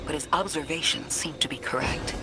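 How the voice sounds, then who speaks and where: An actor playing a skeptical expert adviser in a sci-fi game, speaking precisely and formally. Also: male voice, on the high side, a little fast, heavy background noise, natural voice. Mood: measured, concerned. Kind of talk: acted game dialogue.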